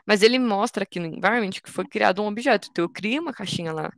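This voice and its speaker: Gravelly-voiced young woman teaching coding. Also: mid-range voice, fast talker, dry recording, studio quality. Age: 20s. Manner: patient, informal, explains step by step with restarts. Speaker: workshop instructor. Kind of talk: online coding tutorial.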